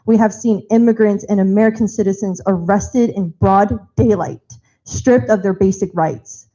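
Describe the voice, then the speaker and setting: A woman's voice: mid-range voice, normal speed, mellow, highs cut off. A woman on a party committee giving a firm, impassioned prepared speech in a large auditorium. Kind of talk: prepared speech at a public meeting.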